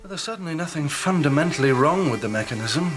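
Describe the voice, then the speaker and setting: An actor playing an earnest, inquisitive young engineer in a radio drama, with a British accent and a polite, well-spoken manner. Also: male voice, fairly high pitch, lively intonation, fast, music in the background, close to an everyday voice. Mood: businesslike. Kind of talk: radio drama acting and first-person narration.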